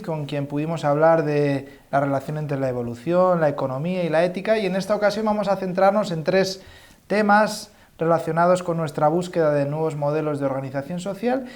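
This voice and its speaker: A male host opens the program and puts questions to his guest. His voice sits fairly high for a man. He speaks formally but often fills pauses and repeats words. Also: darkish tone. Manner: formal, with frequent fillers and repetitions. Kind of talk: interview hosting.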